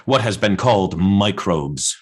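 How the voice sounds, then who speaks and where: A man performs a raving male poet-prophet in a radio play. The voice sits low and declaims deliberately. He is defiant and blasphemous, solemnly insisting on his obsessive visions. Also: lively intonation, a crowd in the background. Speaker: actor in an adapted radio play. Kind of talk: theatrical radio-play monologue.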